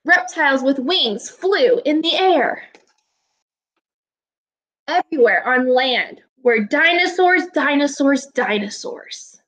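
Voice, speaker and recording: Woman, 20s, primary teacher on webcam. High-pitched, forceful delivery, clear instructions and encouragement aimed at young pupils. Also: lively intonation, slow pace, rough recording. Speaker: elementary school teacher. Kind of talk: children's read-aloud video lesson, instructional. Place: small indoor room at home. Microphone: webcam or laptop microphone, video call recording.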